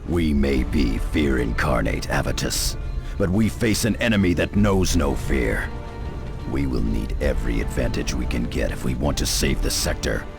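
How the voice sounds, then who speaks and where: A man playing a grim veteran space marine scout sergeant in a video game, grave and measured, with a gravelly voice. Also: animated, heavily acted. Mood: stern, pragmatic. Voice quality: gravelly, very deep. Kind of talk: dramatic video game acting. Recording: music behind the voice.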